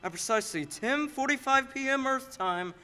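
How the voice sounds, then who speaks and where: Guy acting as boss of the angels in an old-time radio play, high bright voice, patient, explains stuff. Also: lively intonation, normal speed, clean tone, exaggerated voice. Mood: patient, instructing. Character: male superintendent of angels, patient, authoritative heavenly boss. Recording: stage radio-play performance.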